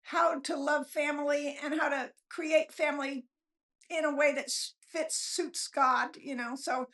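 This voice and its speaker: Female author guest, very high pitch, unpolished, self-deprecating, conversational storyteller. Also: fairly even intonation, measured pace, fairly bright tone. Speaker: author being interviewed, guest. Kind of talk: casual, rambling storytelling chat.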